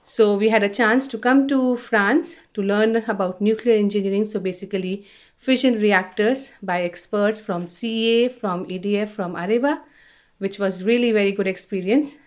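A woman's voice: mid-range voice, normal speed. A woman in her thirties from India with an Indian accent, answering interview questions in a conversational, explanatory way. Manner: explanatory, frequent "you know". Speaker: engineer, interview guest.

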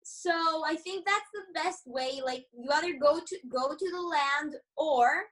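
A woman's voice: sits high, unhurried, a bit bright. A teenage girl whose first language is Greek, speaking as a guest in a hesitant way, full of "like". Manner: hesitant, lots of "like". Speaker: young guest. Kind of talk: casual chat. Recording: distant from the mic.

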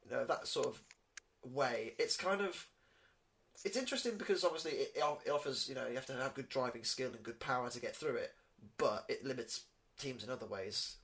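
Guy rattling through a podcast chat, quick, keeps restarting. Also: medium pitch, fairly bright tone. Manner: fast, restarts often, lots of "you know". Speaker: podcast host.

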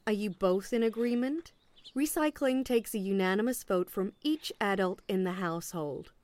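Actress as a polite official lady agent, very formal and measured, radio play style. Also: medium pitch, a little mellow, no room sound, lightly acted. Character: polite, formal woman government agent on doorstep duty. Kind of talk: audio play acting.

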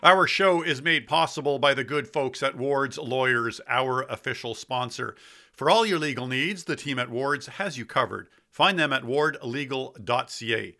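A man with a bright, forceful voice and a medium pitch works as a podcast host. He moves between conversational questions and presenting to the listener. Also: normal speed, soft background music.

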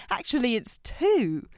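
A woman's voice: a little high, wildly swooping pitch, slow pace, darkish tone, no echo, clean studio sound, heavily acted. An actress playing a composed, probing woman who quizzes and judges her date with pointed questions in a naturalistic audio drama.

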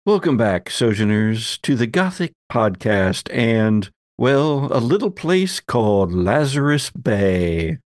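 Slow, theatrical male storyteller, dry close sound. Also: mid-range voice, sing-song, no room sound. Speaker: podcast host and game master. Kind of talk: storytelling narration of a tabletop roleplay game.

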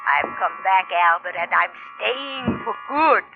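An actress playing a vengeful, stubborn old woman back to haunt, slow and menacing in 1940s radio style. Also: mid-range voice, animated, vocal fry. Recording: tinny 1940s radio recording, music behind.

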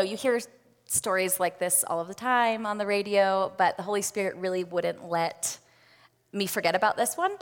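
A woman's voice: mid-range voice, a bit bright, smooth. A woman giving a personal testimony as a guest at a church service, earnest and conversational with frequent fillers. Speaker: guest speaker, nonprofit volunteer. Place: church sanctuary. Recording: long hall reverb.

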